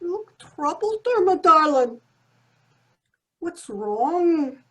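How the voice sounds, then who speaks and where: A very shrill, high-pitched landlady in a radio comedy. She draws her words out slowly and theatrically, swooping between folksy endearments and scolding. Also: big pitch swings, fairly bright tone, exaggerated voice. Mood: cheery, doting. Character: shrill, sentimental Irish landlady, easily affronted. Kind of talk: old-time radio comedy acting.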